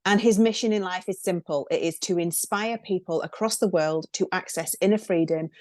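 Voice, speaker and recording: Podcast host lady, kinda low voice, chatty and excited, lots of likes and ums, dry close mic. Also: normal speed, no room sound. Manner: chatty, filler-heavy and enthusiastic. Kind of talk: podcast intro and interview.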